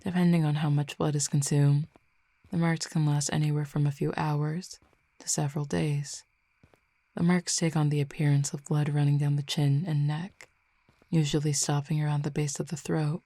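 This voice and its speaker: A woman voicing a commanding, teasing fallen Cupid in an audio roleplay, speaking slowly and intimately with soft whispered stretches. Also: sits low, level tone, no room sound. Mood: calm explaining. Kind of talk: audio roleplay addressing the listener.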